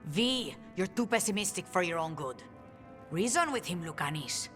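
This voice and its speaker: An actress playing a practical, direct assassin ally in a video game, urging in manner. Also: female voice, fairly low pitch, expressive, moderate pace, a bit bright, in a large hall, soft background music. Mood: coaxing.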